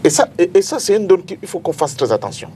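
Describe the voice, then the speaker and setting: A man's voice: high-pitched, sing-song, fast talker, mellow, slight background noise. A Cameroonian-accented man debating politics as a panel guest, speaking emphatically and repeating phrases for effect.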